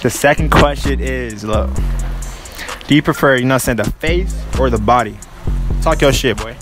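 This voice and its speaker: A man's voice: mid-range voice, moderate pace, music in the background. A young man conducting a casual street interview at a school, slangy and repeating "all right, look" and "you know what I'm saying," speaking into a phone. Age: young adult. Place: outdoors and in hallways at a high school. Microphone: phone held to the mouth.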